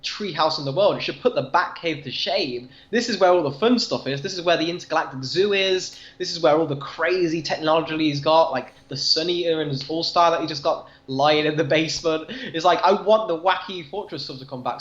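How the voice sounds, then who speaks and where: A man's voice: on the high side, brisk, hardly any pauses, fairly bright tone. A man co-hosting a casual discussion, talking in an earnest, rambling, enthusiastic way. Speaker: podcast co-host discussing comics. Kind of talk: casual chat. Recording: dull, echoey room sound.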